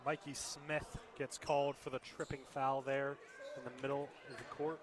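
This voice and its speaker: A man giving measured, analytical color commentary on a live radio basketball broadcast. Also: mid-range voice, flat delivery. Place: indoor basketball game. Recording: crowd noise at a basketball game. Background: crowd and bouncing ball.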